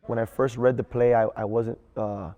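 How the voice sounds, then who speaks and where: A man speaking as a theater director in an interview, earnest and halting, often repeating words as he thinks. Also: mid-range voice, level tone, mellow, big-room sound. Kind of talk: interview reflection.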